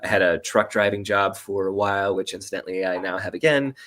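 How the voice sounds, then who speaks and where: A man talking as an interview guest, explaining things casually and haltingly. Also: sits low, steady tone, noisy background. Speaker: guest, audio drama writer and producer.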